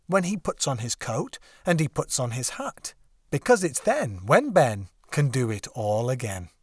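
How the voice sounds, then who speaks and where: A man narrating a children's story as an audiobook reader, with expressive, deliberate phrasing. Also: medium pitch, breathy edge, no room sound, studio quality.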